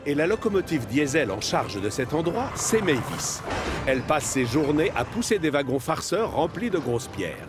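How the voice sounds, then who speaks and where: A man narrating a children's animated story in a scripted, expressive storytelling style with deliberate phrasing. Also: on the high side, music in the background.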